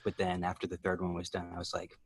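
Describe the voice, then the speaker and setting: A man's voice: sits low, completely flat delivery, fast talker, slightly rough, dry recording. A man co-hosting a podcast, talking casually with the group. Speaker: podcast co-host. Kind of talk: casual panel chat.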